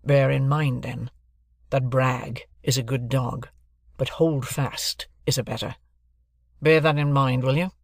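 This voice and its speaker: A man's voice: mid-range voice, steady tone, unhurried, creaky, no echo, clean studio sound. A man voicing a brusque, stern middle-aged lawyer who speaks in curt, clipped, commanding sentences. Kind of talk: audiobook character voice.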